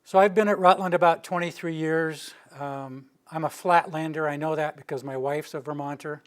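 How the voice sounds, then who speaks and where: Older man with a steady monotone delivery. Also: a little high, moderate pace. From Rocky Mountain region of the US. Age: older, near retirement. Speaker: utility planning director giving a public presentation. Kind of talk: informal public presentation. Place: meeting room with a live audience.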